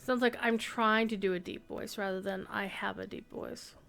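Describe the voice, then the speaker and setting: Bright-voiced woman streaming a game. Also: medium pitch, fairly even intonation, moderate pace, gravelly. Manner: chatty, thinks aloud, reads quest text. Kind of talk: casual gameplay commentary. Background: faint game music.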